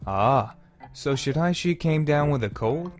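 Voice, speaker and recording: A man's voice: fairly high pitch, expressive, measured pace, music in the background. A man voicing a smug, scheming teenage boy in an anime fan dub, wordy and theatrical. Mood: smug, playful. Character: scheming, smooth teenage boy angling for student council president.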